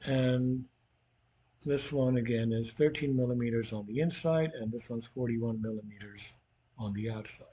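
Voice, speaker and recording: Male teacher, dark relaxed tone, husky edge, mid pitch, casual thinking-aloud explanation. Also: normal speed. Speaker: physics teacher. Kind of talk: informal worked-problem lesson.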